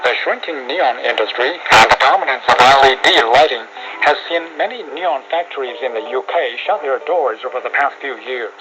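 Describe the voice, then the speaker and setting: A man with a medium-pitched voice hosts a radio programme, reading a scripted introduction. Loud music plays behind him. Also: animated, normal speed, low-quality recording. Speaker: radio show host. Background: loud music bed.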